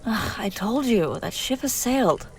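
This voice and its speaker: A woman's voice: on the high side, expressive, measured pace, slight background noise. A woman playing a brusque, sardonic but secretly caring female bounty hunter in a scripted fantasy audio roleplay, speaking straight to the listener. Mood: sardonic, mocking. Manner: sarcastic, teasing, often dropping to hushed urgency.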